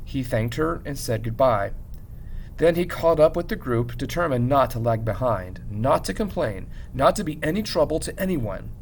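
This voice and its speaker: Guy reading a story out loud teacher style, gravelly creak in his voice, dramatic pauses, bit of background rumble. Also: expressive, low-quality recording. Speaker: English teacher reading aloud to a class. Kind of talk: expressive audiobook-style story narration.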